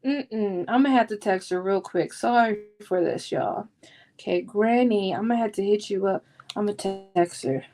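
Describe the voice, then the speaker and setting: Slow, sassy woman chatting. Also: medium pitch, warm tone. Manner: sassy and playful, slangy, acting out characters' lines. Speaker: book vlogger hosting a readalong discussion. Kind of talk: casual, chatty book recap.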